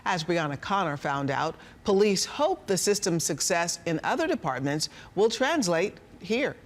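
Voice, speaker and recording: High-pitched American male news anchor. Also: very animated, normal speed. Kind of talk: scripted broadcast news delivery.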